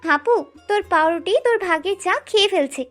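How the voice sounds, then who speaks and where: Cheeky little sister for an audio romance: tiny, extremely high voice, gushing and teasing, music underneath. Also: female voice, animated, normal speed. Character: chatty, teasing little sister, young girl. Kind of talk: dramatized romantic audio story.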